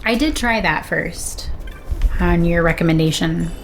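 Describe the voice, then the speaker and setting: A woman's voice: on the low side, measured pace. Woman chatting casually, faint noise behind. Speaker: guest on sibling's stream, mother. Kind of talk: casual chat, personal anecdotes.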